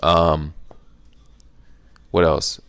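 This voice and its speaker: Casual male host, very low voice, loose rambling delivery with lots of restarts. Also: little pitch movement, extremely slow, a bit bright, no echo, clean studio sound. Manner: rambling, repeats himself, restarts often; laid-back, playful teasing. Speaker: YouTube Q&A host. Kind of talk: casual solo Q&A monologue.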